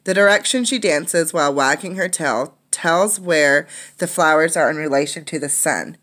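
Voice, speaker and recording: A woman's voice: deep voice, moderate pace, a bit bright. A woman teacher reading a children's nonfiction book aloud, adding explanatory asides for young listeners.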